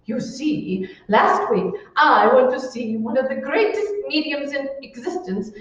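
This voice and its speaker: A woman's voice: high voice, lively intonation, a little slow. A woman playing a gushing, bossy society hostess in old-time radio style, theatrical and grandly over-enunciated. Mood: solemn, grandiose. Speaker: amateur stage actor. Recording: echoey room, distant mic, dull sound.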